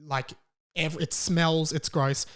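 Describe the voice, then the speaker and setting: A man's voice: fairly high pitch, little pitch movement, slow pace, fairly bright tone, clear, resonant tone. A man hosting a podcast, telling a personal story casually and comedically, with dramatic emphasis and repetition. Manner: casual swearing. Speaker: podcast host.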